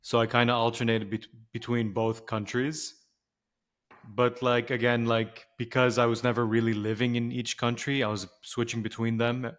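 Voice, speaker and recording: A man's voice: mid-range voice, little pitch movement, normal speed, a bit bright. A man in his twenties from Lebanon and Dubai, a podcast guest talking casually and reflectively with plenty of filler words. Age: 20s. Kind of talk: casual conversation.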